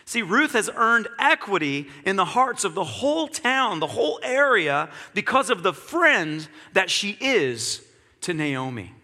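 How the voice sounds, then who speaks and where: A man's voice: high-pitched, very animated, unhurried, sharp tone, big-room sound. A man preaching a sermon in an earnest, reflective way, repeating key phrases.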